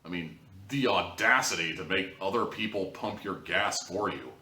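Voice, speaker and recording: Male review host, lowish voice, wry and sarcastic, conversational delivery, echoey room. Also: moderate pace, bright, forceful tone. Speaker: audio drama reviewer, video host. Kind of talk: casual, opinionated spoken review to camera. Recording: roomy echo, distant from mic.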